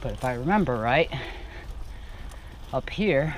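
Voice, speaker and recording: A man's voice: medium pitch, lively intonation, unhurried, rough recording. A man riding a wooded mountain bike trail, muttering running commentary to himself between efforts, recorded on an action camera he is wearing. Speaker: mountain biker filming his ride. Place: wooded dirt trail outdoors. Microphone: helmet or chest-mounted action camera. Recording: loud wind and trail noise on a moving camera.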